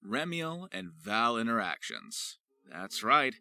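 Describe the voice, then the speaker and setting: A man's voice: medium pitch, huge pitch swings, takes it slowly, gravelly, bright, forceful tone, dry recording, studio quality. A man hosting a scripted video as a voice actor, with playful, theatrical and grandiose phrasing.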